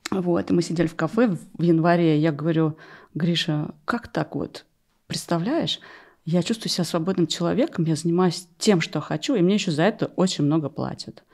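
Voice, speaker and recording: A woman's voice: lowish voice, fairly quick, darkish tone. A middle-aged woman from Russia telling her story as a podcast guest, wry and self-deprecating, stopping to correct herself, close on a studio mic. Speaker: interview guest, opera rehearsal pianist. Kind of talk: conversational podcast interview, personal storytelling. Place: small furnished podcast studio. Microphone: close studio microphone on a stand.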